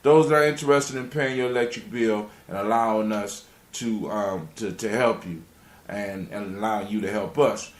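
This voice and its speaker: A man's voice: on the low side, normal speed. A man hosting a radio show in a casual, rambling way, repeating phrases as he finds his words. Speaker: radio show and podcast host. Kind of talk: casual live show chat and sign-off. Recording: roomy echo, faint music.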